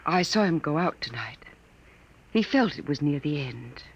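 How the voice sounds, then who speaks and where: A gentle android wife, expressively lilting. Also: female voice, deep voice, animated, normal speed, husky, lo-fi sound, heavily acted. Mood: quiet grief. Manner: soft-spoken, wistful. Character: gentle android wife, ageless, grieving with quiet dignity. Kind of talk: 1950s radio drama acting. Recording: vintage 1950s radio broadcast recording.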